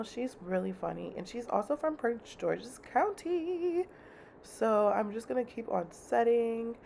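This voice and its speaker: Young woman, chatty and slangy, congested with a fry-heavy voice, faint background hiss. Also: mid-range voice, darkish tone. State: congested, slightly raspy. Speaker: beauty vlogger. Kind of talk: casual makeup tutorial chat.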